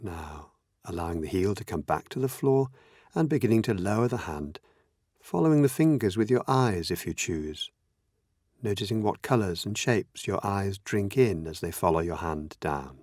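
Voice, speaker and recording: A man leading a guided meditation as a teacher, speaking gently in measured, unhurried phrases with long pauses. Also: low-pitched, animated, dry recording, studio quality. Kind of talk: guided meditation, slow spoken instructions.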